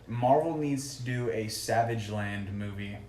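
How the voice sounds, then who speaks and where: A man's voice: on the low side, unhurried, heavy background noise. A man co-hosting a podcast in casual chat, recorded in a room. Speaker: podcast co-host. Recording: echoey room with chatter behind.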